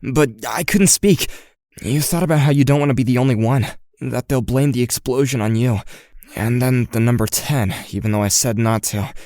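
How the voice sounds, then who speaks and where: A man acts an anxious teenage boy in a sci-fi audio drama, skeptical and a little wry. His voice sits at an ordinary male pitch and speaks hesitantly. The sound is dry and close. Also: normal speed, darkish tone, dry recording, natural voice. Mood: urgent. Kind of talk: audio drama dialogue and first-person narration.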